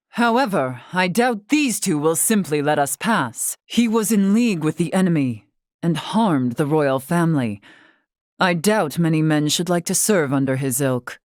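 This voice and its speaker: महिला कलाकार की आवाज़ में धीमे सुर वाली गंभीर महिला मुखिया. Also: female voice, on the low side, a little mellow, dry recording, clean studio sound, lightly acted. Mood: grave, resolute. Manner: formal, archaic, measured phrasing. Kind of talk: video game character acting.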